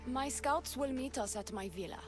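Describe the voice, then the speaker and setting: An actress playing a flirtatious, commanding priestess leader in a video game, composed, guarded and authoritative. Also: female voice, high-pitched, moderate pace, music in the background, lightly acted. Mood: approving. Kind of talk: video game dialogue acting.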